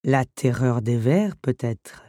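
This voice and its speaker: A woman's voice: a bass voice, animated, slow, mellow, no echo, studio quality, pitched below the performer's own voice, heavily acted. A woman voicing an awkward, kindly male beast who is master of a castle, in an audiobook story. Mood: wry.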